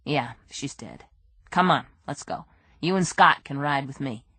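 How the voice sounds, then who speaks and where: A worn-out cop, low and steady, dry close sound, read by a woman. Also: measured pace, slightly rough, dry recording, full character voice. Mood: quiet firm. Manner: quiet, steady, tired. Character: weary male police detective, calm and firm.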